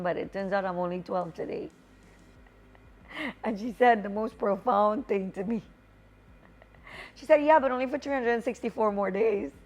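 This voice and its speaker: A woman in her forties, a pastor, telling a personal story in a sermon and building up to lively exhortation. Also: mid-range voice. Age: 40s. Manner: storytelling, rising to exuberant exhortation.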